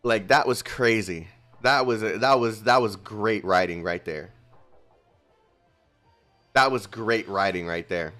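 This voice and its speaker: A young man streaming a game, chatting casually with his viewers in an excitable, rambling way. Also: lowish voice, takes it slowly, long pauses, soft background music. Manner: excitable, rambling, talks to chat. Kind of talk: casual livestream commentary.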